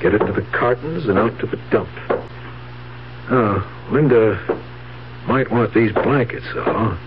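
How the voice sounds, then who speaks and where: Casting: greedy, two-faced nephew, low voice, cool schemer, vintage radio drama with muffled, noisy sound. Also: male voice, unhurried. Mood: muttering to himself. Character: scheming greedy nephew feigning grief, coolly calculating. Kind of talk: 1970s radio drama acting.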